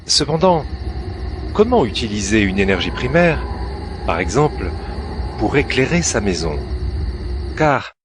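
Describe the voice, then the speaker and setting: A man narrating a scripted educational cartoon for children in a deliberate storytelling way, with dramatic pauses. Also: medium pitch, sing-song, background noise.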